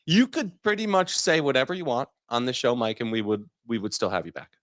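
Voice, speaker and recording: A man's voice: medium pitch, expressive, brisk, a bit bright, no room sound. A man co-hosting a show in casual, joking chat. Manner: joking banter. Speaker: co-host of a market show.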